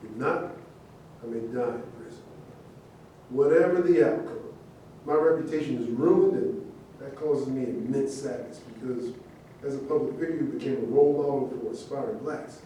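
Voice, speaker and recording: A man's voice: medium pitch, dark tone, slight background noise, lightly acted. Man acting fallen public figure, theatrical monologue, proud and aggrieved, distant mic, very echoey room. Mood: sorrowful, wounded. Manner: oratorical, rhetorical questions, emphatic pauses. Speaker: actor in a staged reading. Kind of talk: theatrical monologue, staged reading. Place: indoor room at a staged reading.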